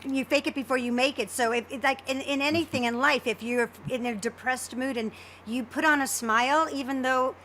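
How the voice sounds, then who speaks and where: Bright, high-voiced woman, emphatic. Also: fairly even intonation, a little fast, hardly any pauses, a little hiss or hum. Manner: emphatic, interjecting. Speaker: podcast co-host, producer. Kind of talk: casual chat.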